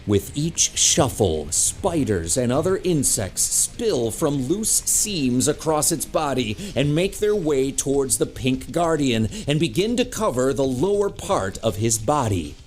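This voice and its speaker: Animated male action narrator. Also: medium pitch, unhurried, few pauses, soft background music. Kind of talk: dramatic scripted narration.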